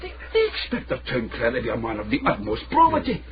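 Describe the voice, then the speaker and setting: A man's voice: medium pitch, wildly swooping pitch, fast talker, gravelly. An actor playing a sly, scheming Scottish man in a radio drama, theatrical and given to repeating his phrases. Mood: menacing reproach. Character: sly scheming Scottish man, blackmailer, mock-outraged and wheedling. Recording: muffled, noisy old radio drama.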